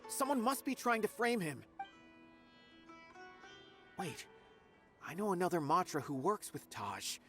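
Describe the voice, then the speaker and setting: An earnest, loyal young investigator in an anime game. His voice sits fairly high and moves around a lot. He is polite and insistent, over faint music. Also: wildly swooping pitch, a little slow, pauses often, fairly bright tone, heavily acted. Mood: troubled, defensive.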